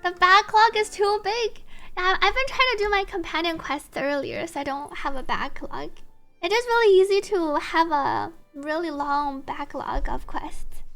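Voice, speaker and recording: A woman's voice: very high-pitched, moderate pace, fairly bright tone. A woman livestreaming a video game, chatting casually with viewers and reading on-screen text aloud over game music.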